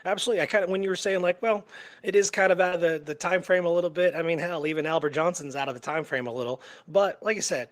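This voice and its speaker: Guy cohosting a history show, rambly casual chat, bit higher voice, really dry sound no room. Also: quick pace, no echo. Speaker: co-host of an Old West history show.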